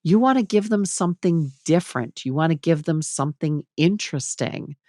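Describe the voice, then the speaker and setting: A middle-aged woman giving advice as a podcast guest, with a low, fairly even voice and a measured, deliberate way of talking. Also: dry recording, studio quality. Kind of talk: conversational advice in an interview.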